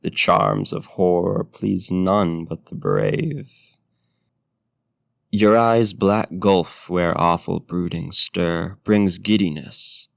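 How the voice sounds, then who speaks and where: A man's voice: steady tone, takes it slowly, no echo, clean studio sound, exaggerated voice. A man playing a courtly, bookish, flirtatious vampire in an intimate ASMR roleplay, speaking with formal, genteel phrasing. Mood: solemn, poetic. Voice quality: smooth, deep. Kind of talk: ASMR roleplay, intimate talk and poetry reading.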